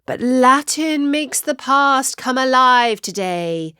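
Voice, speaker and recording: A woman's voice: high-pitched, animated, slow, sharp tone, smooth, dry recording, clean studio sound, pitched above the performer's own voice, exaggerated voice. A woman voicing a firm, wise witch mother in a storybook, explaining things emphatically. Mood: persuasive, instructive.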